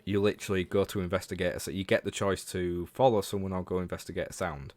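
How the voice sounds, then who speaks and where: A man's voice: low-pitched, fairly even intonation, fast talker, a little mellow, no room sound. A man from Leeds with a Northern English accent, chatting casually about games as a livestream host and talking to viewers. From Leeds, England.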